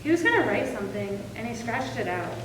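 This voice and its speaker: A woman's voice: a little high, big pitch swings, quick pace. A woman playing a trusting, loyal young sweetheart of a soldier in a readers theater drama. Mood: subdued. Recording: echoey hall from far off, clear noise.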